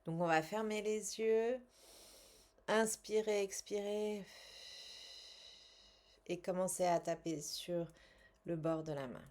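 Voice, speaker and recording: A woman coaching a guided tapping exercise in short, measured, instructional phrases with pauses and repeated statements. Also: mid-range voice, a little mellow. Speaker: tapping coach and language teacher.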